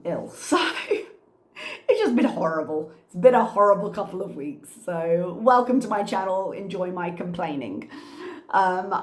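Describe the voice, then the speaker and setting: A woman's voice: fairly low pitch, darkish tone. A woman, a teacher, chatting casually to her vlog camera in a meandering, storytelling way while congested and coughing a little. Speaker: teacher and channel host. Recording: echoey room.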